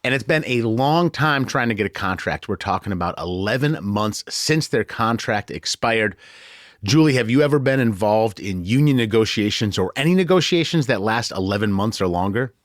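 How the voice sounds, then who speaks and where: A man's voice: fairly low pitch, expressive, normal speed, no room sound, clean studio sound. An American man hosting a conversational news podcast in a colloquial, joking way. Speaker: podcast host.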